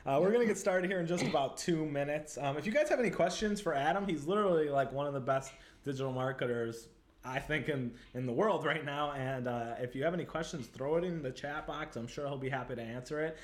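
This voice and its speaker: A man's voice: on the high side, fast. A man with a Chicago accent hosting a live online interview show, chatting casually and upbeat, full of fillers like "like" and "totally". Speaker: livestream show host.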